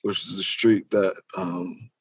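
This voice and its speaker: A man's voice: sits low, steady tone, slow pace, husky, no room sound. Casual New man with a dry sound. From Queens, New York, United States. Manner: slangy, profane, loose and conversational. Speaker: interview guest, rap musician. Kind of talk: casual interview chat.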